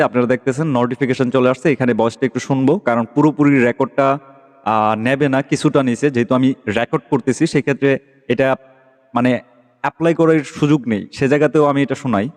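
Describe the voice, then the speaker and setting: A man's voice: mid-range voice, fairly even intonation, fairly quick, a little mellow. A man hosting a casual tech tutorial, explaining an app step by step and often checking that listeners follow. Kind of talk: casual screen-recorded app walkthrough. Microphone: phone microphone during screen recording. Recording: long echoing reverb.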